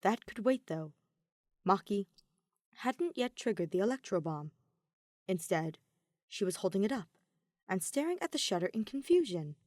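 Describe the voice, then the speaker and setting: A woman's voice: mid-range voice, very animated, normal speed, long pauses, a little mellow, no room sound, clean studio sound. A woman reading scripted fiction as an audiobook narrator, in a dramatic storytelling style.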